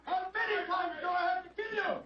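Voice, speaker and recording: An actor playing a frenzied, murderous business manager, a shrieking villain in a radio melodrama. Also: male voice, very high voice, steady tone, a little fast, shouting, a loud crowd. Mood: frenzied rage. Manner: frantic, shrieking. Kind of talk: 1940s radio melodrama acting. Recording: muffled, echoey, distant old radio recording.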